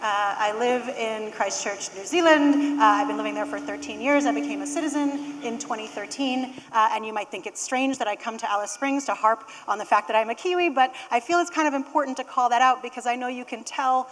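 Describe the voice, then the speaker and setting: A woman's voice: a little high, brisk, few pauses, long reverb tail, low-quality recording. An American woman giving a conversational conference keynote with wry asides. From United States. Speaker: conference speaker. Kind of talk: keynote talk.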